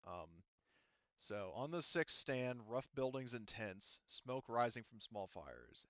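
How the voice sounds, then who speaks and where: A man's voice: medium pitch, big pitch swings, slow, fairly bright tone, no room sound. A man narrating as game master for a tabletop game, rambling with many fillers and restarts, heard over dull, lo-fi call audio.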